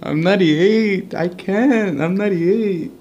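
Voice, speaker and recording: A man's voice: high voice, slow, darkish tone, lo-fi sound. A man chatting casually and jokingly in slang, switching languages, as host of a prank chat. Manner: joking, slangy, switches between English and Spanish. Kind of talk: casual prank chat over a walkie-talkie app. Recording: noisy amateur home recording.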